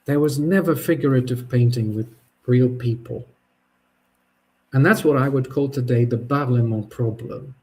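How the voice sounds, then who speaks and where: A man who is a native Polish speaker, giving a thoughtful spoken essay, often pausing and restarting his sentences. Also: medium pitch, darkish tone. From Poland. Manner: thoughtful, halting, with frequent restarts and fillers. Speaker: podcast host.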